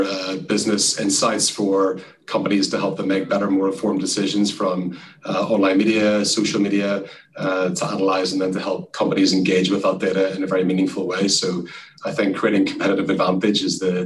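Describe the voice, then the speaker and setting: Irish man, low voice, distant mic. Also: brisk. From Ireland. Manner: relaxed, chatty, frequent fillers. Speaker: guest, sales executive. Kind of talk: casual interview chat.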